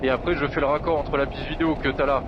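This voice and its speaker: A man's voice: medium pitch, level tone, fast, lo-fi sound. A man giving a casual, slangy, chatty gear review while riding a motorcycle, speaking into a helmet intercom microphone. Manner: informal, slangy, chatty, occasional swearing. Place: outdoors, riding a motorcycle in traffic. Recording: road and vehicle noise behind voice.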